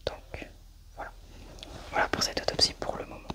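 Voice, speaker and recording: A woman doing a soft, hushed ASMR medical roleplay, narrating her own actions unhurriedly as an examiner. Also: moderate pace.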